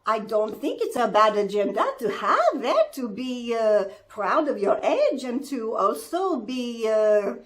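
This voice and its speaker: Woman putting on a high, halting Frenchwoman. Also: very animated. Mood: earnest. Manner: halting, hesitant, singsong phrasing. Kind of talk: playful in-character vlog chat.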